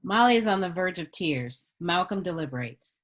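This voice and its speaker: A woman's voice: fairly low pitch, animated, normal speed, a bit bright. A woman reading stage directions as scripted narration at a screenplay table read.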